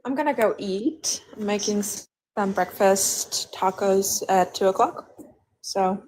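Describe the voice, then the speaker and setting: A woman's voice: mid-range voice, slow pace, darkish tone, rough recording. A woman chatting casually, easygoing and hesitant. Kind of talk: casual chat.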